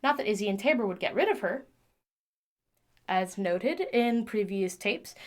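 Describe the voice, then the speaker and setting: A woman playing an earnest, methodical mechanic in an audio drama, speaking formally and wordily as if logging repair notes. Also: on the high side. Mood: clinical, thoughtful. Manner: precise, formal, wordy. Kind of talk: audio drama, spoken log entry.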